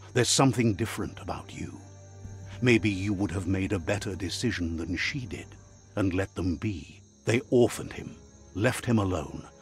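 A man narrating a game in a measured, solemn storytelling manner, retelling what a creature says. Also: on the low side.

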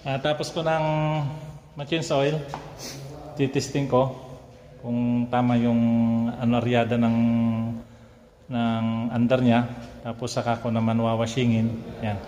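Slow-talking man in an echoing garage. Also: mid-range voice, flat delivery, a little mellow. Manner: halting, informal. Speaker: motorcycle DIY vlogger. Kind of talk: casual vlog chat while working. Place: large bare concrete parking garage. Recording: echoey concrete garage, faint noise.

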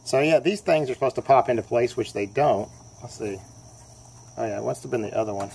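A man's voice: mid-range voice, brisk, vocal fry, a little mellow, husky. A man casually showing and explaining an old broken tape deck at home, rambling with lots of fillers. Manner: rambling, easygoing, many fillers. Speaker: vintage tape deck repair vlogger. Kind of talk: casual show-and-tell narration. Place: sunny room at home, at a desk.

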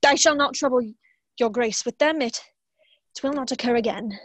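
A young woman playing a stiff, flustered secretary in a play reading, formal and halting. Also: on the high side, no echo, close to an everyday voice. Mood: shaken, apologetic. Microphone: earphones on a video call.

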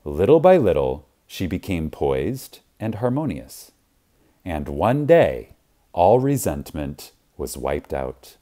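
Male audiobook narrator, deep mellow voice, reverent, emphatic, pausing for effect. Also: very animated. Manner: reverent, emphatic, with dramatic pauses.

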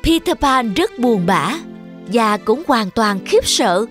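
Female children's fairy tale narrator, fairly high pitch, soft music underneath. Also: animated, slow. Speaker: storyteller.

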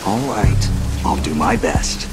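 Low gravelly video game soldier, middle-aged, wary and clipped, music under him. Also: male voice. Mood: calm, resolute. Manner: terse, military, deliberate. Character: gruff middle-aged male soldier infiltrator, terse and wary. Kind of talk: dramatic video game acting.

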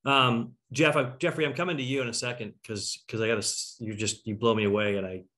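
A man's voice: medium pitch, fairly even intonation, quick pace, sharp tone. A middle-aged man hosting a casual panel on a video call, musing aloud as he works toward his questions. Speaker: talkback host. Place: indoor room on a video call. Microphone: video call computer microphone.

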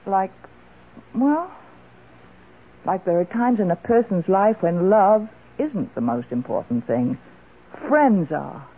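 A woman's voice: mid-range voice, very animated, takes it slowly, long pauses. An actress playing an elderly, tipsy woman, wistful and fond, in theatrical 1970s radio drama style. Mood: tender, tipsy. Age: older. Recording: muffled old radio broadcast.

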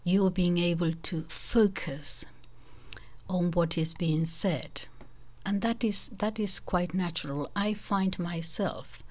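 An older woman with a Spanish accent, speaking in a discursive, casual way to her online audience, restarting and correcting herself and often dropping to a soft whispery aside. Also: lowish voice. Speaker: channel host.

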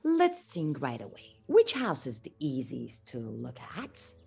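Animated woman telling fairy tales to children. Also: a bass voice, a little slow, creaky, breathy edge. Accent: non-native English. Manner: theatrical, questioning the listeners. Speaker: children's storyteller.

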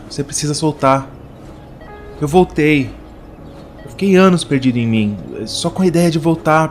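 A man's voice: on the high side, close to an everyday voice. An actor voicing a devoted young man in an audio drama, speaking in an earnest, pleading way.

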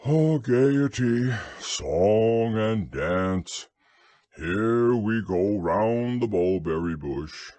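A mopey old donkey with a low, sighing drawl, as a male reader puts him on. He speaks very slowly with dry sarcasm and a husky edge. The storybook voice is close and dry. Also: sing-song, a little mellow, dry recording, heavily acted. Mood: gloomy. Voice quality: low, slow, drawn-out sighing voice. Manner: morose, dryly sarcastic.

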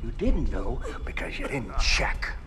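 A menacing game villain, a bitter ex-operative. His pitch is mid-range but swoops as he taunts, theatrical and sardonic. Music plays behind him. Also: big pitch swings, measured pace. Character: embittered betrayed ex-operative turned menacing cartel villain. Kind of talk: video game cutscene acting.

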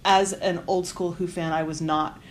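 A woman's voice: on the low side, steady tone, normal speed. A woman interviewing someone for a podcast in a casual, conversational way. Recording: clear background noise, room ambience.

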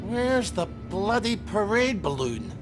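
Gruff, indignant British man. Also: sits high, big pitch swings, slow pace, music in the background, exaggerated voice. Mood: irritated. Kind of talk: video game acting.

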